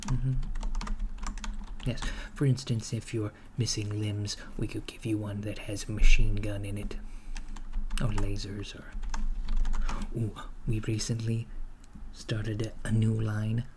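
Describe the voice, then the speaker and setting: A man playing a polite, bureaucratic recruiter for an evil corporation in an ASMR roleplay, soft-spoken and halting, often slipping into a whisper. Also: fairly low pitch, flat delivery. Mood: businesslike. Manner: hushed, halting, matter-of-fact. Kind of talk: ASMR roleplay, improvised job interview. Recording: clearly noisy close recording, keyboard typing. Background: computer keyboard typing.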